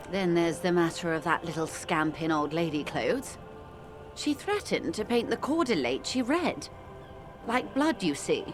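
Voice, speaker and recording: An actress playing a wealthy, cultured negotiator in a video game, wry, composed and condescending, with refined, dryly ironic delivery. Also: female voice, fairly low pitch. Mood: dry amusement. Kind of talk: video game dialogue acting.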